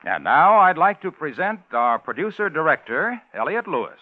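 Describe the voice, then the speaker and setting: A man's voice: on the high side, sing-song, normal speed, loud music behind the voice. A man announcing scripted commercials in the style of 1950s radio advertising. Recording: tinny 1950s radio recording.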